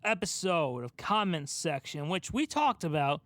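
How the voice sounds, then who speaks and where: A man co-hosting a podcast in casual, informal chat with an animated storytelling manner. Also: fairly high pitch, moderate pace, dry recording. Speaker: podcast co-host.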